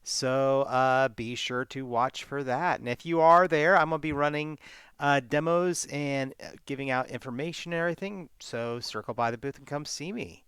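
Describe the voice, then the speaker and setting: A man's voice: medium pitch, moderate pace, fairly bright tone, smooth, no room sound, studio quality. A man giving casual announcements for a live gaming channel, speaking as one of its hosts.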